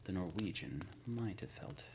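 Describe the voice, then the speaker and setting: A man playing a courteous, shrewd, ancient supernatural lord in an ASMR roleplay, speaking softly and formally, often near a whisper. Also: low-pitched, animated, gravelly, background noise. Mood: attentive, musing. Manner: formal, measured, erudite wording with deliberate pauses. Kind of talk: ASMR roleplay, intimate scripted monologue.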